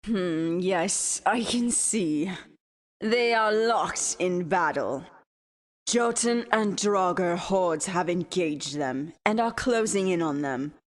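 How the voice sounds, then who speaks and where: A woman's voice: lowish voice, lively intonation. A woman playing a devoted villainous sorceress queen in a fantasy audio drama, speaking formally and deferentially. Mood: watchful reporting. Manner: formal, deferential, theatrical, measured.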